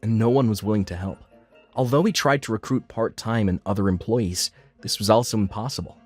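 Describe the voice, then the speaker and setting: A man's voice: on the low side, close to an everyday voice. A man acting as an earnest diner owner, narrating his life story in a scripted manga dub. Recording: background music under the voice.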